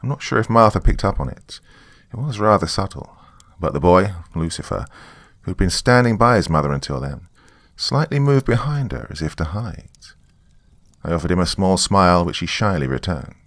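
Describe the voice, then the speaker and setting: A man's voice: low-pitched, expressive, normal speed, a bit bright, no echo. A man narrating a story in the first person.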